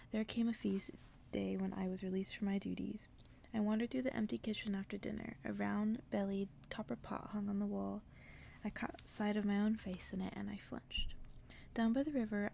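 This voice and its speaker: Whispering woman reading a bedtime story, slightly creaky. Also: mid-range voice, a little hiss or hum. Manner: soft, breathy, unhurried whispering. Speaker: ASMR storyteller.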